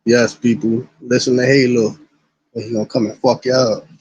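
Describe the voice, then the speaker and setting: A man with a raspy smoker's voice, rambling casually and profanely to his live-stream chat while high. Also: flat delivery, normal speed, a little mellow, no echo. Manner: laid-back, rambling, profane. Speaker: livestreamer. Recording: dull lo-fi stream audio.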